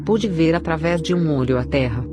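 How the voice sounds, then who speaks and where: A woman's voice: deep voice, animated, fairly quick, background noise. A woman with a Brazilian accent reading a scripted narration of a religious testimony. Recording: clear background music under the voice. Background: music.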